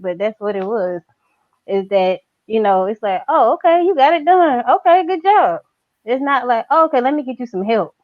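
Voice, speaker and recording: A woman chatting casually, her sentences running on and looping through fillers. Her naturally quiet voice is pushed a little louder than usual. The sound is dull and lo-fi with a dry, close feel. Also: on the high side, dry recording. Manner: talks in long run-on stretches with many fillers; says her natural voice is very quiet but trains herself to speak louder. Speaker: guest. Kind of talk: casual chat.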